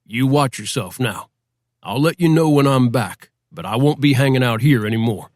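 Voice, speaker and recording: A man's voice: medium pitch, expressive, moderate pace, no room sound, clean studio sound, lightly acted. A man voicing a cool-headed tough guy speaking matter-of-factly. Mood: steady.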